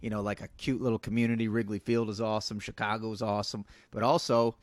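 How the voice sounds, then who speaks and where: A man's voice: lowish voice, little pitch movement, no echo. A comedian guest, a man born in Iran and raised in the United States, talking casually with many fillers and restarts. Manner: halting, many fillers and restarts, soft-spoken. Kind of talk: casual podcast chat.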